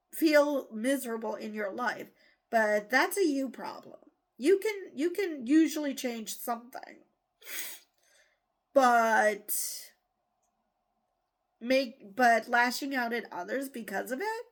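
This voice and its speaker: A woman hosting a casual news commentary show, blunt and exasperated, swearing freely and rambling through asides and restarts. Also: a little high.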